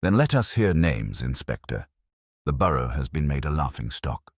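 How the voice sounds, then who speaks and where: A man's voice: extremely low pitch, big pitch swings, moderate pace, husky, no room sound, clean studio sound, exaggerated voice. A man voicing an indignant, pompous town mayor making demands in a mystery story.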